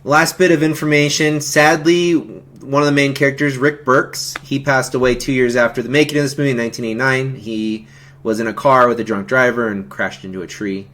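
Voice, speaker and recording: Halting, rambling man chatting about movies. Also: mid-range voice, steady tone, fairly bright tone. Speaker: host of a film commentary stream, amateur horror filmmaker. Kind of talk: casual film commentary chat.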